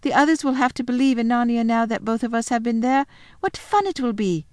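Woman doing a high, gushing little girl. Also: fairly quick, no echo, clean studio sound, lightly acted. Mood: excited. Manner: breathless excitement, gushing. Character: eager, excited little girl.

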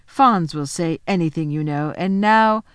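A woman voicing a cold, scheming queen who speaks slowly and coaxingly. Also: on the low side, smooth, no echo, studio quality, close to an everyday voice. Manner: slow, coaxing and commanding.

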